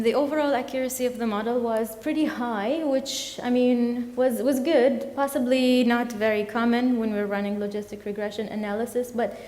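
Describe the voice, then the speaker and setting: A woman whose first language is Arabic, giving an academic conference talk, halting and full of fillers and self-corrections. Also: a little high, warm tone. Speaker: conference presenter. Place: lecture hall. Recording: echoey hall with long reverb.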